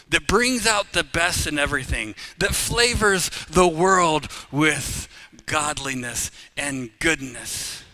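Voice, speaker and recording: A middle-aged man who grew up in Uganda, preaching a live sermon as pastor, telling personal stories with humor and rising louder on key phrases. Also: on the high side, poor audio.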